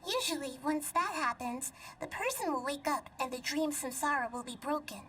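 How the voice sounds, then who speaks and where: A girlish little goddess from a game, high in pitch and measured in delivery. She sounds calm, gentle and wise, a bit away from the mic with faint noise behind. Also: distant mic. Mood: calm explaining. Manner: measured, explanatory. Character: small young female deity, calm, wise and gentle. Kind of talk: video game cutscene acting.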